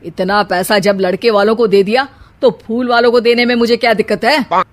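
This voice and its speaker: A woman's voice: on the high side, fairly even intonation, a little fast, lightly acted. A woman voicing a frustrated middle-aged father, bitter and scolding, with sarcasm.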